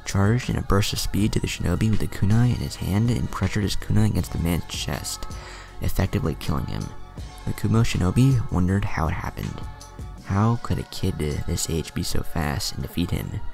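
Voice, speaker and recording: A man reading a fan-written ninja story aloud in a dramatic, expressive way. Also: sits low, normal speed, vocal fry, music in the background.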